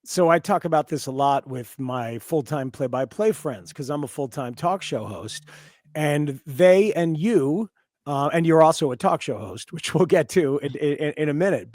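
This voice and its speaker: A man's voice: on the high side, a little mellow, dry recording, studio quality. A man in his fifties with an American accent, hosting a casual sports radio interview in a conversational way. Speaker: sports talk radio host.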